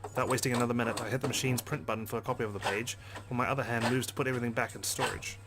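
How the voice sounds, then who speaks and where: A man's voice: mid-range voice, steady tone, very fast, heavy background noise. A man reading a visual novel aloud as a let's play host, narrating expressively with casual asides. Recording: game music behind the voice.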